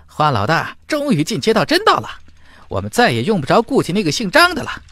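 Gloating, sneering henchman, high and swooping, storybook villainy over music. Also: wildly swooping pitch, a little fast, a bit bright, full character voice. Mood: gloating, excited. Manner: chuckling, sneering. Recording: background music under the voice.

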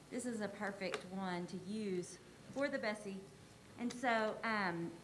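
A woman's voice: mid-range voice, fairly bright tone, rough recording. An older woman, a teacher, giving an informal, explanatory presentation in a conversational way at a podium microphone through a face mask. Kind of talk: informal award presentation to a school board. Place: school board meeting room. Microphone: podium microphone, speaking through a face mask. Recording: audible room noise at a podium microphone.

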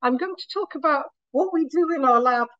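A woman professor lectures on her research, her voice a touch scratchy and pitched fairly high. She explains patiently with many small restarts. The sound is dry and slightly dull. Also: fairly quick, fairly bright tone, no echo. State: slightly scratchy voice after a week of talking. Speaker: materials science professor giving a talk. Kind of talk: academic lecture. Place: lecture room.

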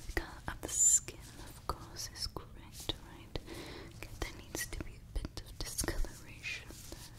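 A woman whispering slowly as a doting female scientist who builds an android, murmuring to herself and coaxing it with praise. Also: a bit bright, natural voice. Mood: absorbed. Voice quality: whispering, breathy. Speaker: ASMR roleplay creator. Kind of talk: ASMR roleplay.

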